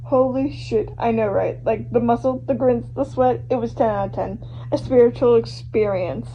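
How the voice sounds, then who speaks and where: A woman voicing excitable, exclamatory young social media fans in a dramatised reading, on a homemade recording. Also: a little high, normal speed, lightly acted. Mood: gushing. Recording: muffled, noisy amateur home recording.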